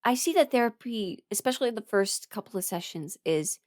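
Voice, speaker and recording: A young adult woman talking frankly and haltingly in a candid personal ramble, often quoting her own thoughts as she goes. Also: mid-range voice, animated, darkish tone, no echo, studio quality. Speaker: voice performer sharing a personal ramble.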